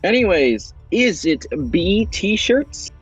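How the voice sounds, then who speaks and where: A man's voice: high voice, sing-song, takes it slowly, husky, lo-fi sound, soft background music. A young man hosting a fan-made quiz show, reading out questions and answer choices in an upbeat quizmaster manner.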